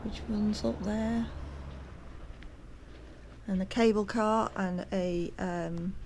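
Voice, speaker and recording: A woman's voice: mid-range voice, fairly even intonation, takes it slowly, a little mellow, a little hiss or hum. A woman narrating a casual walking tour, chatty and hesitant with plenty of ums, at times out of breath from climbing. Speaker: walking vlogger. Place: outdoors on a hillside.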